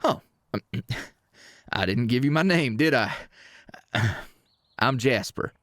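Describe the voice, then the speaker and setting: Man playing a slow-talking, lilting Southern handyman. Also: mid-range voice, expressive, fairly bright tone, dry recording, studio quality. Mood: friendly, cheerful. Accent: American Southern. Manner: folksy, easygoing, chatty. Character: friendly, charming small-town male handyman. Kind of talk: ASMR roleplay acting.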